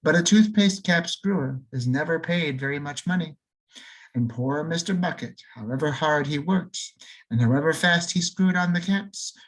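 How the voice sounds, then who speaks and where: A man reading a children's storybook aloud, slowing down and lingering on key words, with free swings in pitch and loudness for emphasis. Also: low-quality recording. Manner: expressive, lingers and slows on key words for effect. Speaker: audiobook reader.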